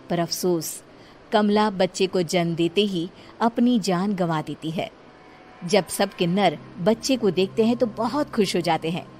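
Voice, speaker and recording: A woman narrating a scripted village story in a steady, dramatic way. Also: fairly low pitch, a little hiss or hum.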